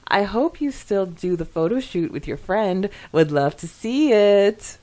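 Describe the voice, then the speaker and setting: A woman's voice: mid-range voice, animated, a little slow, darkish tone. A woman co-hosting a podcast, chatting casually and reading listener comments in a giggly, effusive manner. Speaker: podcast co-host.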